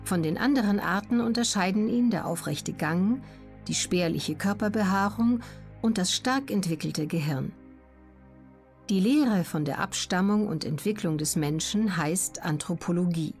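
A woman reading scripted educational narration as an audiobook narrator, in a measured, clearly articulated way. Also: lowish voice, a little mellow, faint music behind.

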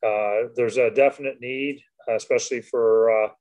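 Halting Canadian councillor on a call. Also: male voice, mid-range voice, steady tone, darkish tone. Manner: halting, many uh fillers. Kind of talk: council meeting remarks. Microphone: video call.

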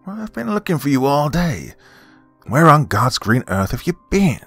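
Guy doing a mean old granny, snarly and strict, voice pushed up higher, story with music. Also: sing-song, measured pace, fairly bright tone, husky, no room sound, full character voice. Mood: snapping. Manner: snapping, snarling, harsh. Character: cruel, snappish old grandmother, strict and devout. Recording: music behind the voice.

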